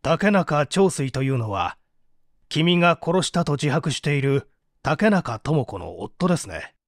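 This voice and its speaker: Man playing composed prosecutor, slightly high voice, formal, measured, probing, close dry sound, radio drama. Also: big pitch swings, dry recording. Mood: stern questioning. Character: composed, formal male public prosecutor questioning a suspect. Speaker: voice actor reading a radio drama. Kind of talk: dramatized mystery reading.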